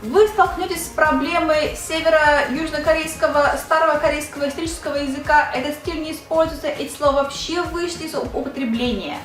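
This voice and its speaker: A woman's voice: high-pitched, a little fast, few pauses, fairly bright tone, reverberant, soft background music. A young Russian woman, a Korean language teacher, chatting casually in a video lesson and asking the viewer rhetorical questions.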